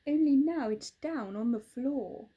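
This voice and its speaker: A woman voicing a kind little female owl in a storybook, gentle and friendly. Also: high-pitched, unhurried, husky, narrow, tinny audio.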